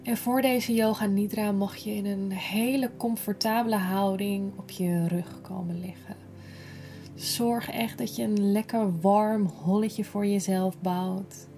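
A woman guiding a yoga nidra relaxation in a gentle, soothing, unhurried manner, breathy at times. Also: medium pitch, fairly even intonation, soft background music. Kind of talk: slow guided relaxation meditation.

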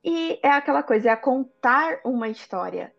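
A woman's voice: sits high, lively intonation, normal speed, creaky. A Brazilian woman on a podcast panel, chatting casually, on a slightly muffled track. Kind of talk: casual group chat.

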